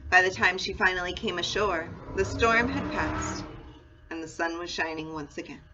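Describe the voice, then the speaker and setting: A woman reading a children's storybook aloud in an expressive, dramatic and deliberate way. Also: lowish voice. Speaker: story reader, school read-aloud. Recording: dull lo-fi sound with faint noise.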